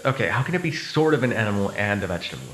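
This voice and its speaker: A man playing a protective, sardonic male vampire in an ASMR audio roleplay, talking intimately and haltingly, with swearing and sighs. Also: lowish voice, sing-song, fairly bright tone, a little hiss or hum, poor audio. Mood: wry, amused.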